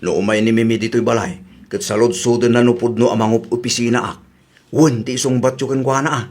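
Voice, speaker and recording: An actor playing a boastful, scheming young suitor in a comedy radio drama. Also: male voice, mid-range voice, fairly even intonation, brisk, darkish tone, lightly acted. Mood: insistent. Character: boastful, scheming young suitor lying about his job.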